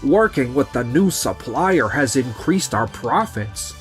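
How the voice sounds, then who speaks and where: A man's voice: mid-range voice, very animated, takes it slowly, soft background music. A man voicing a self-assured businessman in a manga romcom dub. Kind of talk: scripted manga dub acting.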